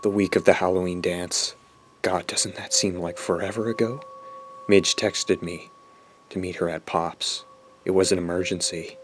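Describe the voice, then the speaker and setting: A man's voice: deep voice, fairly even intonation, normal speed, slight background noise, close to an everyday voice. An actor playing a smug, self-absorbed teenage boy in an audio drama, narrating his confession in a pompous, dramatic way. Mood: guilty, confessing. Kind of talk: audio drama acting, first-person narration.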